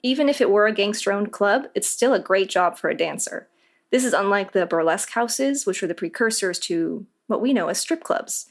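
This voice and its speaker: Conversational woman explaining, medium-pitched voice. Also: animated, moderate pace. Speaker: interview guest, voice actor. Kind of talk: scripted explanatory interview answers.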